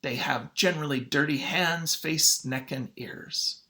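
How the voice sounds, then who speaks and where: A man's voice: fairly high pitch, measured pace, sharp tone, full character voice. A man voicing a smug, prejudiced 1940s school superintendent giving assertive testimony in a storybook read-aloud. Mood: smug, disdainful.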